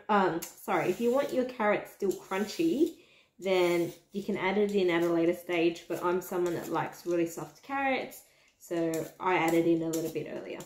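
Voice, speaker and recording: Brief: Australian woman, home cooking vlogger, chatty step-by-step talk, roomy kitchen sound. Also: medium pitch. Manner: chatty, step-by-step, addresses viewers as guys. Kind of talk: casual cooking narration to camera.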